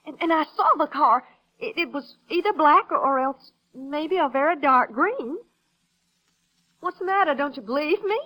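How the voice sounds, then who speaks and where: A high, bright young American woman's voice as a nervous witness. She sounds flustered and defensive, in old-time radio drama style. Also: animated, moderate pace, low-quality recording. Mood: insistent. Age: young adult. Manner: flustered, hesitant, then insistent. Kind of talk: 1950s radio drama acting. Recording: vintage 1950s radio broadcast recording.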